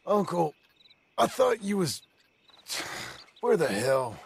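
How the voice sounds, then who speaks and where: An actor playing a gruff, earnest American outlaw turned rancher in a Western game, with a gravelly, plain-spoken drawl. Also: male voice, high voice, very animated, slow pace, heavily acted. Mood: irritated. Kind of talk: cinematic video game acting. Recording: music behind the voice.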